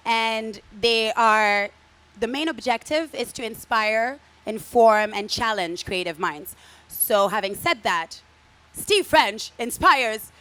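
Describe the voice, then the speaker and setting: Hesitant woman hosting a live event. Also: fairly high pitch. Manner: hesitant, repeats words, warm welcoming tone. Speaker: host. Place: event space with live audience.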